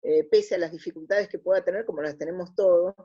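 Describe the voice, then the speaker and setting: A woman's voice: fairly low pitch, fast talker, mellow, breathy edge. A middle-aged woman with an Argentine Spanish accent, giving reflective, casual interview answers and often searching for her words. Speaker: interview guest. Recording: dull lo-fi audio.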